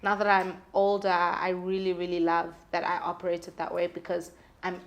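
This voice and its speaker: A woman's voice: on the low side, monotone, normal speed, highs cut off. A young woman speaking candidly and expressively in casual, slangy conversation as an interview guest. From South Africa. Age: young adult. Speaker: guest, singer-songwriter.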